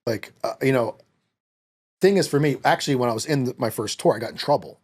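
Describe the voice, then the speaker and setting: A man's voice: medium pitch, quick pace. A middle-aged man from the United States telling personal stories as a podcast guest, casual, blunt and profane, acting out dialogue. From United States, born in Italy. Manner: animated, blunt, profane, acts out quoted dialogue. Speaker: podcast guest, former Marine. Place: small podcast studio, indoors. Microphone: close podcast microphone on a boom arm.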